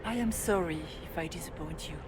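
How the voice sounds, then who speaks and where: A woman playing a serene, regretful female spirit in a video game, speaking in measured, gentle, formal phrases. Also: fairly low pitch, noisy background, close to an everyday voice. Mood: calm, gentle. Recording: music loud behind the voice.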